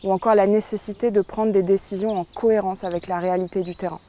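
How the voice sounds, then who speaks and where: A woman in her twenties, a law student, giving an earnest campaign testimonial in measured statements. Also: mid-range voice, fairly even intonation, warm tone. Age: 20s.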